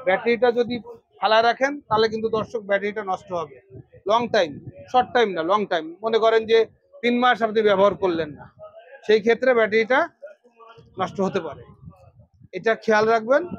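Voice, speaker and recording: A man's voice: sits high, dry recording, highs cut off. A man with a Bangladeshi accent presenting shop products to viewers, repeating phrases for emphasis. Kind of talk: product demonstration sales pitch.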